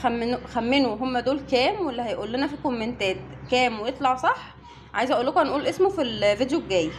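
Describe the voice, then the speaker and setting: A woman's voice: on the high side, animated, rapid-fire, a little hiss or hum. A woman with an Egyptian Arabic accent chatting casually while unboxing for a family vlog, sounding a little weary. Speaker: family vlogger.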